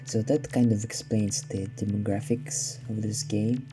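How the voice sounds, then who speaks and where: Slow, sardonic man over game music. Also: on the low side, fairly even intonation. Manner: sardonic, slightly down-sounding, hesitant with many fillers. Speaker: let's play gamer. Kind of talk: casual gameplay commentary.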